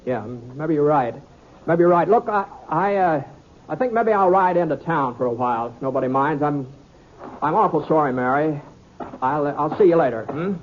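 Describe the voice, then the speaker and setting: An actor playing a seasoned, weary western gunman with a folksy, halting manner in an old-time radio drama. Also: fairly high pitch, expressive, natural voice. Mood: apologetic. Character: seasoned male western gunman, weary and guarded, recounting his tale. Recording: muffled, hissy vintage radio recording.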